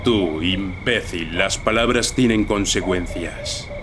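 A man's voice: deep voice, slow pace, background noise. A man with a Castilian Spanish accent voicing a stern, powerful, menacing villain in a fighting game dub, imperious and threatening. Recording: loud music behind. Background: music.

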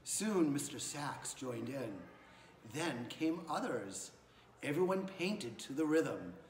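A man's voice: a little high, animated, slow pace. A man in his forties reading a children's picture book aloud. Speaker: school staff member reading aloud. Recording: echoey room with faint noise.

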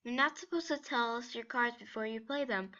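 A woman voicing a gentle, prim motherly monster in an amateur comic dub. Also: a little high, fairly quick, dull audio. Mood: scolding. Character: gentle, scolding motherly monster, politely apologetic.

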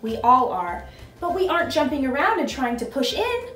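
A woman's voice: sits high, very animated, normal speed, a bit bright, roomy sound, faint music behind. A woman voicing a sensible male hippo in a children's storybook, firm and explaining. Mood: reproving.

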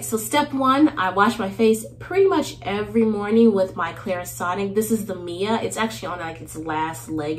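Chatty woman vlogger with a cold-nasal voice. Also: medium pitch. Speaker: beauty vlogger host. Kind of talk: casual get-ready-with-me chat. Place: small indoor bathroom.

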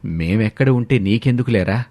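A man's voice: lowish voice, moderate pace, darkish tone, no echo, lightly acted. A man playing a weary, proud older father in a family moral story, resigned and grumbling. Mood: sulky.

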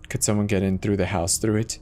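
A man playing a steady, reassuring emergency dispatcher in a horror audio drama, urging the caller along. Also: on the low side, fast talker, slight background noise, lightly acted. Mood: concerned. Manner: calm, reassuring, soft-spoken urging.

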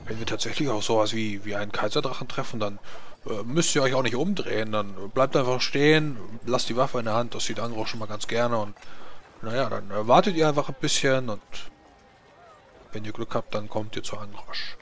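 A man's voice: medium pitch, brisk, a bit bright, close to an everyday voice. A man playing a pious, stoic dwarf warrior in a tabletop fantasy game, giving rambling, folksy advice. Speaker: player in a tabletop roleplaying game. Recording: noisy recording with background ambience.

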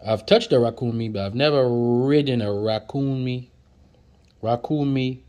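A man's voice: medium pitch, unhurried, dark tone. Playful man running through flashcards. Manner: playful, repeats words, jokes with viewers. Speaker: vlogger teaching words. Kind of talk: casual flashcard lesson. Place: indoors at a table, off camera.

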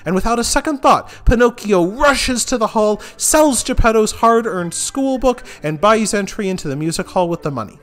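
A man narrating a scripted history of a fairy tale in an expressive storytelling manner. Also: sits high, normal speed, few pauses, soft background music. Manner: expressive, lively storytelling delivery. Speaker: host.

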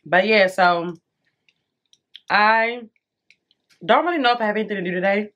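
A woman's voice: mid-range voice, normal speed, a bit bright, muffled recording. A young woman vlogging casually to camera from her bedroom, chatty and eating as she talks, a little congested. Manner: chatty, addresses viewers as y'all, eats while talking. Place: bedroom at home.

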